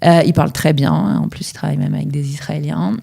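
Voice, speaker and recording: A woman's voice: low-pitched, steady tone, fast, gravelly, mellow. A woman from northern France in her thirties, a dance coach telling her story in a casual interview, hesitant with many fillers.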